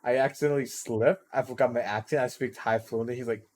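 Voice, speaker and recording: Young male student, rambling casual talker, mid-pitched voice, chatty podcast co-host. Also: steady tone, quick pace, darkish tone. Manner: rambling, frequent restarts and fillers. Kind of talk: casual chat.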